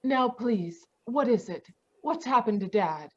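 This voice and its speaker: A woman's voice: a little high, expressive, unhurried, muffled recording. A woman playing a distraught, polite young lady of the house in an old-time radio melodrama. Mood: anxious. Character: distraught, polite grown daughter of murdered man. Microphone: video call.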